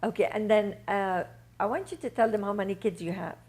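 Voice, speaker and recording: A woman's voice: mid-range voice, brisk, darkish tone, breathy edge. A woman hosting an interview show, introducing and questioning her guest in an encouraging way, with frequent fillers. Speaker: talk show host. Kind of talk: interview host.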